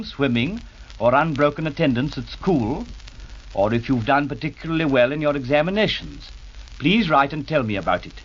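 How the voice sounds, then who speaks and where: A man giving a formal, avuncular scripted address to young radio club members. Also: medium pitch. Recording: muffled old 1930s radio recording.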